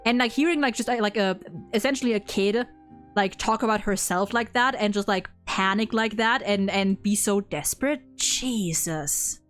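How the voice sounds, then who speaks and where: A woman in a casual, excited fan discussion, effusive and slangy, rambling with lots of repeated "likes". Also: medium pitch, normal speed, faint music behind. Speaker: video host discussing a game.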